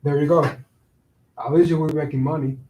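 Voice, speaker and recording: A man's voice: a little high, fairly even intonation, moderate pace, mellow, close to an everyday voice. A man in a staged play reading playing a teasing neighborhood buddy who works in the building. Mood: teasing, curious. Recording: echoey room, far from mic.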